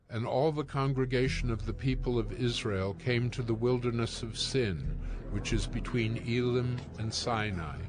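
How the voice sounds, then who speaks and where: A man giving scripted narration of scripture as the narrator of an audio production. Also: medium pitch. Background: music.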